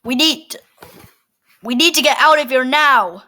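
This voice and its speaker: A woman's voice: high-pitched, unhurried, pushed and bright. A woman acting out a panicked scene, crying out and pleading. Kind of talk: amateur audio drama.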